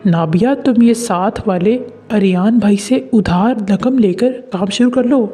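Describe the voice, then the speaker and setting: A man voicing working men in a story, with a husky voice and scripted dialogue. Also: high voice, measured pace, warm tone, faint music behind. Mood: earnest. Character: several story men: labourer, boss, husband, officer.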